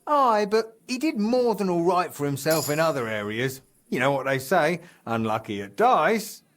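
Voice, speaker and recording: A man's voice: a little high, normal speed. A man playing a jovial, middle-aged medieval guildmaster in a video game, speaking heartily. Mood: confiding. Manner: hearty, expressive. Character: jovial, dice-loving older medieval guildmaster, hearty and comradely. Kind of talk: video game character dialogue.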